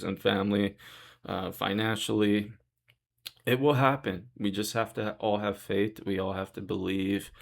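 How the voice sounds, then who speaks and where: A young man with a deep voice talking earnestly and reassuringly in a rambling vlog monologue. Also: vocal fry. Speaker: vlogger. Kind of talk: casual monologue to camera.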